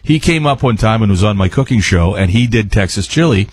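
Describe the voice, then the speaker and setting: A man's voice: fairly low pitch, expressive, fairly quick, slightly rough, dry recording. A man, a radio chef explaining a recipe in a folksy, informal, rambling way. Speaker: resident chef and radio host. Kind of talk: casual chat explaining a recipe.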